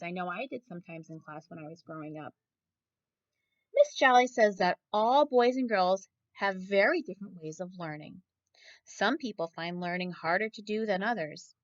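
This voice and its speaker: A woman's voice: on the low side, no echo, lo-fi sound. A woman reading a children's picture book aloud like a teacher, expressively, often turning to ask her young listeners questions. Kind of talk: children's story read-aloud with asides to listeners.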